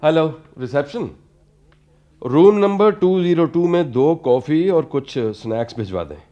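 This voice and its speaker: An actor in a radio play playing a middle-aged, avuncular and self-absorbed theatre director, deliberate and mixing English words into his speech. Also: a little high, lively intonation, mellow, dull audio. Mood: businesslike.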